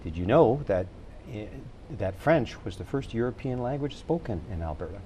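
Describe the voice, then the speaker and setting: Folksy low-voiced man hosting. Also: expressive, normal speed, warm tone, a little hiss or hum. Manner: folksy, joking, with frequent fillers. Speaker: TV talk show host. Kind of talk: hosting a local TV interview.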